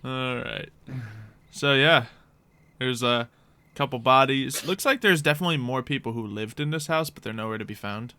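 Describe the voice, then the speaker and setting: A man's voice: mid-range voice, moderate pace, pushed and bright, clear, resonant tone, dry recording. A young man working as game master, narrating a tabletop roleplay in a casual, easygoing way and often chuckling.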